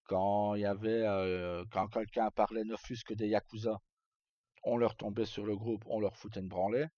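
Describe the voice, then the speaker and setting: A man chatting casually as a livestream host, telling roleplay stories in a halting, rambling way and often repeating words as he goes. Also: fairly low pitch, little pitch movement, dark tone, no echo. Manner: halting, rambling, frequent self-repetition. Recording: dull lo-fi mic, dry.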